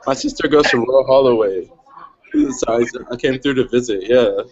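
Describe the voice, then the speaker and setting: A man's voice: medium pitch, huge pitch swings, normal speed, darkish tone, lo-fi sound. A young man who grew up in Switzerland, a guest rapper chatting casually in a laid-back, slangy way with frequent laughter. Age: young adult. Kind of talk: casual chat.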